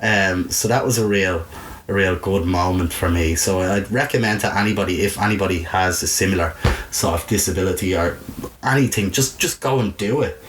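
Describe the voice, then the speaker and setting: A man's voice: low voice, brisk, fairly bright tone. Dublin man chatting candidly over background noise. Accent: Dublin Irish. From Ireland. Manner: candid, informal, sweary, frequent "you know". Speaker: interview guest, video maker. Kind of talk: casual personal storytelling.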